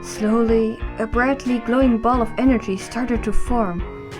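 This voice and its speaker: Fairly high-voiced woman narrator. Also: steady tone, unhurried, music in the background. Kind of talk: scripted audio drama narration.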